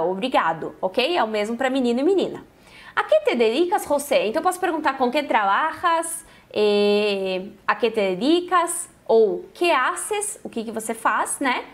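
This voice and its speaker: A Brazilian woman, a native Portuguese speaker, teaching Spanish in an informal, conversational lesson style with frequent check-in tags. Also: on the high side. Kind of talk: informal language lesson, explaining and translating example phrases.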